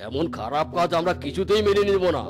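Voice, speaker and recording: A man's voice: a little high, expressive, fast, natural voice. A man voicing an angry, bullying animal village headman in a cartoon, accusing and commanding. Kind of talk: cartoon story voice acting.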